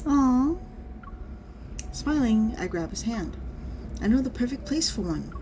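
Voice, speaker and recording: A woman's voice: fairly high pitch, sing-song, unhurried. Woman streamer with faint noise behind her. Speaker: streamer reacting to a game. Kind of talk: reading game story aloud with casual reactions.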